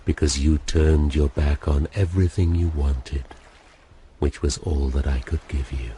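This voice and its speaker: A super deep ai being, dark mellow tone, slow and grave, radio play. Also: male voice, steady tone, a little hiss or hum. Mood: solemn resignation. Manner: solemn, deliberate. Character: grave, resigned, all-knowing male artificial intelligence. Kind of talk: radio drama acting.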